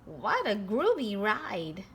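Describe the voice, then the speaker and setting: A woman reading a children's picture book aloud in an expressive, animated storytelling manner. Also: medium pitch, slow, vocal fry, a little hiss or hum. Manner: expressive, with animated character lines.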